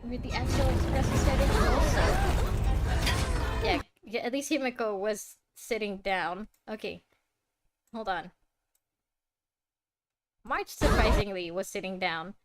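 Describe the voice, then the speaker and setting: A young woman giving casual, excitable live commentary as a streamer, often exclaiming. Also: mid-range voice, measured pace, pauses often, background noise. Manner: expressive, exclaiming. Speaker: streamer reacting to game trailers. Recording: loud game music behind. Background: game trailer music.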